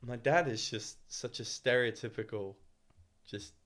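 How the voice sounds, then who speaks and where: A man's voice: mid-range voice, unhurried, a bit bright. A man with a British accent, an English teacher living abroad, co-hosting a podcast in casual chat and rambling with lots of swearing and laughter. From England. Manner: rambling, profane, laughs often.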